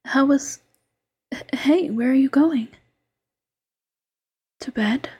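A woman voicing a doting, teasing fox girlfriend who mothers her partner, in soft coaxing whispered roleplay. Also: high voice, slow.

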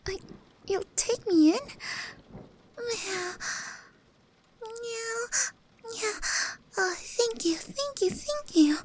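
A woman voicing a shy, timid young stray cat girl in an ASMR roleplay, talking softly and hesitantly, often in a whisper. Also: high voice, poor audio. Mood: shy, grateful.

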